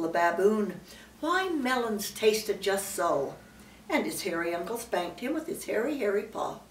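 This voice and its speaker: Elderly woman reading aloud in a roomy space. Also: mid-range voice. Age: older, great-grandmother. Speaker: storyteller reading to a child. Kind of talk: read-aloud children's storybook narration with asides. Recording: roomy, distant mic, faint background noise.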